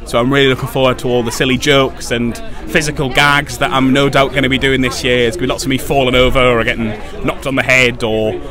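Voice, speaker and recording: A man's voice: mid-range voice, flat delivery, quick pace, few pauses. Enthusiastic man over prominent music. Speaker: pantomime actor interviewee. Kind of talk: casual interview chat.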